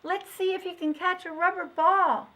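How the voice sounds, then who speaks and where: A woman doing shrill mocking kids. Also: fairly even intonation, moderate pace. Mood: taunting. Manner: shrill, shouting commands. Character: group of taunting young children.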